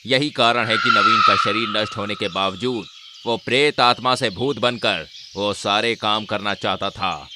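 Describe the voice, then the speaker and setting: A man voicing a calm, grave holy man with a measured, authoritative manner in a cartoon horror story. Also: on the low side, very noisy, lightly acted. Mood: grave, explaining.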